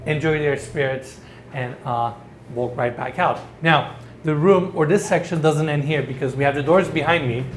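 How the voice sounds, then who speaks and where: A man from Turkey with a Turkish accent, hosting an enthusiastic walkthrough of a large house. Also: a little high. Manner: enthusiastic, showcasing. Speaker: host. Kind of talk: home tour presentation.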